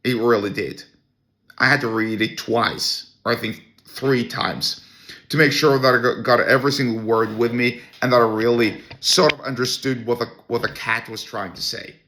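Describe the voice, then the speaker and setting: A man's voice: mid-range voice, normal speed, bright, forceful tone. A man with a Norwegian accent, hosting a solo podcast and ranting in a blunt, scornful way with many restarts. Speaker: podcast host. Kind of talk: opinionated solo podcast rant.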